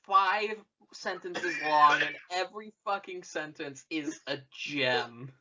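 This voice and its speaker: A man's voice: fairly high pitch, takes it slowly, muffled recording, noisy background. A man chatting casually as a podcast co-host, swearing freely. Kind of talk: casual chat.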